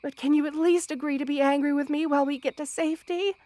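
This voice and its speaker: A woman's voice: high voice, steady tone, fast talker, no echo, close to an everyday voice. A woman playing a kind, protective spider queen with a tender, formal manner in an ASMR roleplay. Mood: gently reasoning. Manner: tender, doting, softly commanding, formal wording. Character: motherly female spider queen, kind, protective, regal monster. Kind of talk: ASMR roleplay audio drama.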